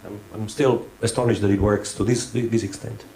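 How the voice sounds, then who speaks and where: A man's voice: lowish voice, steady tone, moderate pace, a little mellow, a little hiss or hum, poor audio. A man who is not a native English speaker, giving a hands-on workshop lecture. He thinks aloud and halts often, restarting his sentences.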